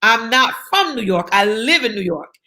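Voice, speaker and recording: A woman's voice: a little high, big pitch swings, normal speed, bright, forceful tone. A woman from South Carolina, speaking as an interview guest in an emphatic, encouraging way and repeating her key phrases for effect. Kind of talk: motivational interview talk.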